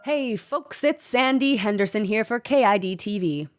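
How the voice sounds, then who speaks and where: An actress playing an earnest, chirpy local TV news reporter in an audio drama, with a folksy presenting manner. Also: female voice, mid-range voice, lively intonation, moderate pace, over loud music, natural voice.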